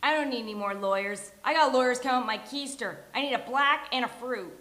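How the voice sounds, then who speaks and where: A woman reading a rough-edged, abrasive female boss at a live sitcom table read in a roomy, hissy space. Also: on the high side, fairly quick, sharp tone. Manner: brusque, abrasive. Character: Madge, rough-edged, foul-mouthed bulldog of a woman boss. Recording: roomy live venue, hiss.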